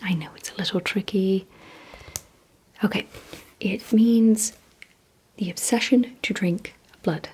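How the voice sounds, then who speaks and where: Slow, breathy whispering woman. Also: mid-range voice. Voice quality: breathy, mostly unvoiced whisper. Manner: slow, deliberate, gently instructing. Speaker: ASMR host. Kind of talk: whispered ASMR vampire roleplay, addressing the listener.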